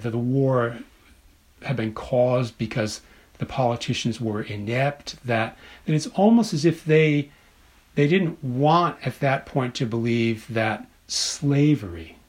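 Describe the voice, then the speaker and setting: A man speaking as an academic guest in an interview, thinking aloud haltingly and often repeating words for emphasis. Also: medium pitch. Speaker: interview guest, author and literature professor. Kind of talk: scholarly interview conversation.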